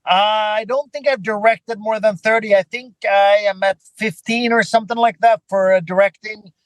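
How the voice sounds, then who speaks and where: A man from Sweden whose first language is Swedish speaks as an interview guest. His voice sits high for a man, in a dry recording with no room sound. He tells stories in a casual, conversational way. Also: steady tone, normal speed, dry recording. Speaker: podcast guest, filmmaker and actor.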